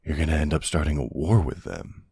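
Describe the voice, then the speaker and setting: A man playing a protective older ex-military boyfriend, gruff but tender, in an intimate ASMR roleplay with a gravelly, husky, soothing voice. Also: a bass voice, warm tone, heavily acted. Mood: affectionate. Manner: slow, hesitant, soothing.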